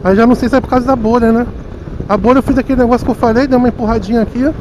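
Man, Rio de Janeiro accent, high voice, chatty motorcycle vlog commentary, faint wind and road noise. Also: steady tone, very fast. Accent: Rio de Janeiro Portuguese. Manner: chatty, self-mocking, talks to viewers directly. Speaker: motovlogger. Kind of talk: casual running commentary while riding. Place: outdoors on a moving motorcycle in city traffic. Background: faint wind and traffic noise.

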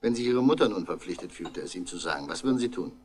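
Low-voiced, animated police inspector. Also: male voice, fast talker. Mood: probing, dry. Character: shrewd, unhurried police inspector questioning villagers and suspects. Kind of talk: 1960s German radio crime drama acting.

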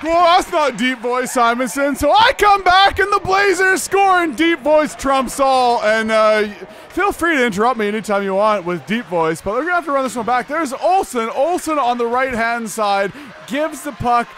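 A man calls an amateur hockey game as the host of a loose, joking commentary. His voice sits fairly high, and he rambles, laughs at his own slips and keeps addressing his co-host by name. Faint noise hums behind him. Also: expressive, normal speed, fairly bright tone. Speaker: play-by-play host.

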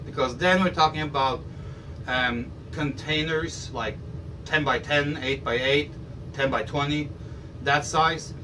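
A middle-aged man explaining his shop's shipping service to camera, rambling with frequent restarts, in a room full of audio gear. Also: on the high side. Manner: rambling, restarts often, gestures while talking. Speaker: audio shop owner. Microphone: camera across the room. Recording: distant mic with faint room noise.

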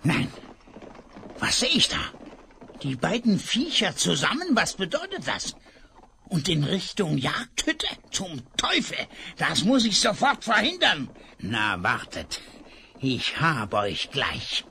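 A man's voice: fairly high pitch, wildly swooping pitch, takes it slowly, creaky, sharp tone, slight background noise, exaggerated voice. An actor playing a scheming male gunman in a radio play, muttering with sneering menace. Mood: alarmed, menacing. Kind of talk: 1970s radio play acting.

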